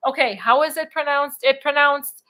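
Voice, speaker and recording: A middle-aged woman hosts a relaxed cruise live stream, rambling and laughing as she reads out comments. Her voice is quite bright and sits a little high. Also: moderate pace. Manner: chatty, rambling, laughs often. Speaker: cruise vlog host. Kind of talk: casual live-stream chat reading comments.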